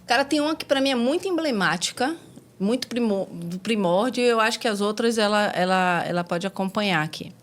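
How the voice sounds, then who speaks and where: A woman's voice: mid-range voice, animated, brisk. A woman in her forties from Salvador with a Bahian accent, frankly telling the story of her career in a casual conversation, with slang and the odd swear word. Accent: Bahian Portuguese. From Salvador, Brazil. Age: 40s. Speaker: guest.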